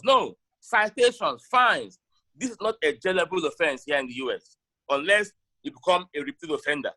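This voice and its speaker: Bright-voiced Nigerian man, emphatic. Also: high voice, rough recording. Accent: Nigerian Igbo-language accent. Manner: emphatic, repeats short rhetorical questions. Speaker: interviewee, sports broadcaster. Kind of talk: opinionated interview talk.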